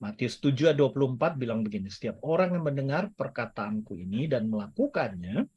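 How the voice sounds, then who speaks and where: Man, sermon, chatty and joking, husky voice, pitch swinging a lot. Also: fairly quick, dull audio. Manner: chatty, lively, mixes in English phrases, jokes with listeners. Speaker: guest speaker. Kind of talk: casual teaching sermon. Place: church service or online meeting.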